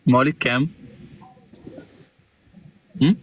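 A man's voice: medium pitch, slow, a little hiss or hum. A man in his twenties joining a live stream by phone, bantering and laughing easily. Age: 20s. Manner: giggly, bantering. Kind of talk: casual chat. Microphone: phone at arm's length.

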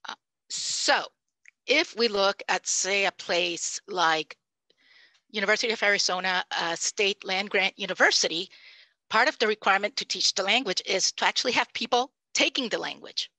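A Puerto Rican woman in her fifties, a native Spanish speaker, giving an animated, emphatic panel talk over a headset microphone on a video call. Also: medium pitch, normal speed, no room sound, low-quality recording. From Puerto Rico. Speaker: panelist, language professor. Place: home room, indoors. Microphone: headset mic.

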